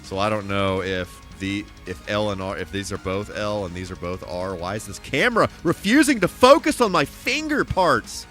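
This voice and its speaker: A man hosting a casual, rambling game livestream, animated and emphatic, repeating himself for emphasis. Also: fairly low pitch, moderate pace, few pauses. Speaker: live streamer and host. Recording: music playing behind the voice. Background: music.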